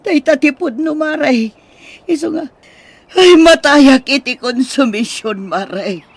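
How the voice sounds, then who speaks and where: A woman with an Ilocano accent playing a fretful, remorseful middle-aged mother in a radio drama, with a plaintive, husky delivery. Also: high-pitched, a little slow, no room sound. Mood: fretful, exasperated.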